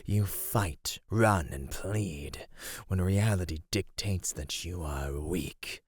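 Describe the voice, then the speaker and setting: A man playing a teasing, condescending serpentine monster predator in a theatrical ASMR roleplay, speaking in a deliberate, menacing way. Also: sits low, husky, no echo, studio quality, full character voice. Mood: condescending, intrigued.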